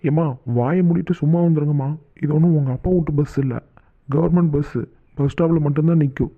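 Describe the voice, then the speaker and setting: A man's voice: on the high side, steady tone, a little fast. A man voicing a stern, irritated cartoon bus driver with a curt, scolding manner. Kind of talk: cartoon comedy skit dialogue. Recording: tinny phone-like narrow band.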